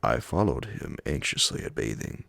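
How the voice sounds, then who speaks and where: A man reading classic horror fiction as an ASMR audiobook, in a hushed, measured, breathy and gravelly voice. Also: very deep voice, sing-song, no room sound. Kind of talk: ASMR audiobook scripted narration.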